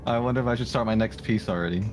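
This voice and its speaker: A man's voice: medium pitch, racing. A man chatting casually on a livestream, rambling and giggly as he works on his art. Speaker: streamer drawing art live. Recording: music playing behind the voice. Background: music.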